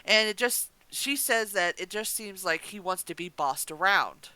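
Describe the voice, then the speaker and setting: Bright, clear-voiced woman reviewer. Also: medium pitch, animated, moderate pace, no echo. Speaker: podcast reviewer. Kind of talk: casual review discussion.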